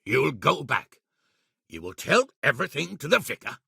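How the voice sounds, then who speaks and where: A man playing a blunt, hot-tempered middle-aged medieval lord in a video game, with a raspy, gravelly voice and an authoritative manner. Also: mid-range voice, big pitch swings, normal speed, bright, forceful tone, no echo, clean studio sound, full character voice. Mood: stern, angry. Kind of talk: video game character acting.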